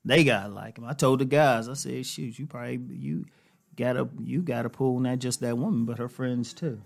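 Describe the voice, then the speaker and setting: Middle-aged American man with a gravelly voice. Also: mid-range voice, normal speed. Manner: conversational, emphatic, repeats phrases for emphasis. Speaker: relationship advice host. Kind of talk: casual advice monologue to camera. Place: living room, indoors.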